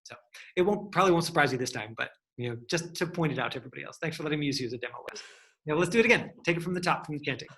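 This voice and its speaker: A man's voice: a little high, animated, rapid-fire, a bit bright. A man coaching an acting class, giving instructions in an encouraging way. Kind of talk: hands-on acting lesson.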